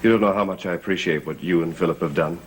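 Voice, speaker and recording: An actor playing a stern, brooding master of a gothic manor, speaking in a grave, measured, authoritative way. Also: male voice, low-pitched, a little hiss or hum, close to an everyday voice, low-quality recording. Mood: restrained tenderness. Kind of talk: 1960s gothic soap opera acting. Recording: old TV soundtrack with background score.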